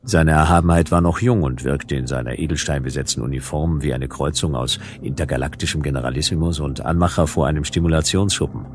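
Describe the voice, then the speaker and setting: An actor playing a hard-boiled detective, narrating a noir radio drama in a dry, laconic, sardonic way. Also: male voice, a bass voice, fairly even intonation, fast talker, few pauses, slight background noise.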